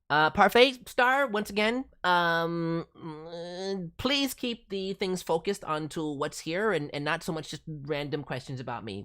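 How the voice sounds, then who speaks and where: Cartoon raccoon host, acted by a man, excitable, very animated pitch, casual commentary, encouraging, cutesy wording. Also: heavily acted. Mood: impressed, encouraging. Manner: cutesy playful word forms. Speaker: VTuber host reviewing voice demo reels.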